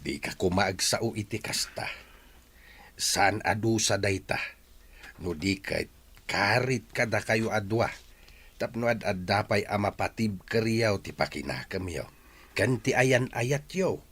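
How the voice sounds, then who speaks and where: A man's voice: on the low side, unhurried, fairly bright tone, husky. A man playing a worried, consoling father in an emotive, pleading way for an audio drama. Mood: consoling, earnest. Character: worried, consoling father of a heartbroken grown son. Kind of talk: Filipino audio drama acting.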